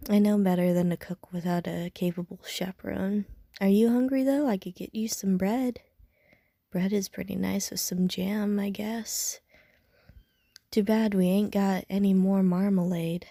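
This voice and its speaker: Slow-talking, soft-toned young gamer woman, played by a voice actress, self-deprecating, slightly prickly, hesitant, for intimate audio roleplay. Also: mid-range voice, fairly even intonation, dry recording. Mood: casual, hesitant. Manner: hesitant, slangy, dry, self-mocking. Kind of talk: intimate audio roleplay, casual chat to the listener.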